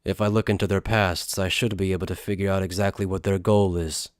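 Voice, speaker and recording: A cool anime strategist acted by a man, pitched down low. He is calm, analytical and understated. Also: fairly quick, no echo, studio quality, close to an everyday voice. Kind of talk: anime fan dub acting.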